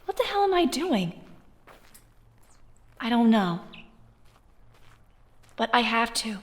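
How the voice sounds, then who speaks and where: An actress playing a spirited, defensive teenage high-school girl in an audio drama, with an exasperated, sarcastic edge. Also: very animated, slow, big-room sound. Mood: anxious, puzzled.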